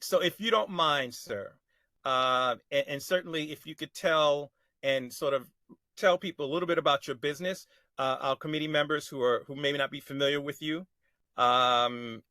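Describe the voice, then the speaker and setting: A man chairing a public board meeting on a video call, with a bright, slightly high voice and a dry sound. He is polite and formal, calls people sir, and often stops to restart his sentences. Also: steady tone, brisk, no room sound. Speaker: committee chair.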